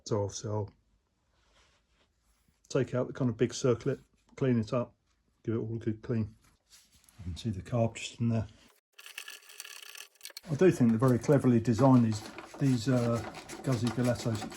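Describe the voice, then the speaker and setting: Relaxed man talking through a repair. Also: medium pitch, moderate pace, pauses often, breathy edge. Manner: relaxed, explanatory, many fillers. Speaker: motorcycle restorer, channel host. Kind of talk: casual workshop talk-through. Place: workshop or shed.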